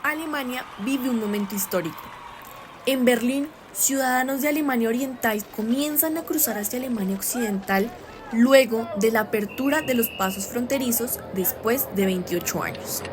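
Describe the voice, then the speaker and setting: A woman's voice: a little high, normal speed, noisy background, close to an everyday voice. A woman playing a solemn radio news reporter, reading a scripted bulletin in a formal, declarative manner. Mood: solemn, proud. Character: solemn female radio news reporter announcing historic events.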